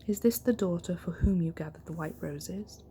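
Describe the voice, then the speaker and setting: A woman voicing a big, kind, lonely fairy-tale beast in a soft, breathy, measured voice. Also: fairly low pitch, a little hiss or hum, close to an everyday voice. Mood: calm, courteous.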